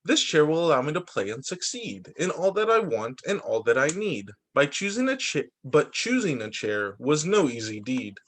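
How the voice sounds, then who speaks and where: A man's voice: on the high side, big pitch swings, normal speed, hardly any pauses, fairly bright tone. A man voicing an upbeat, hopeful young boy in a children's storybook, speaking in sing-song rhyme. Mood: excited, hopeful.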